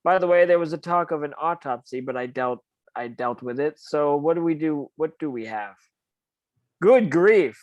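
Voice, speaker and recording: A man's voice: on the high side, animated, normal speed, a little mellow, no echo, highs cut off, natural voice. A man playing a breezy senior spymaster in an amateur table read. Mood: breezy, unruffled.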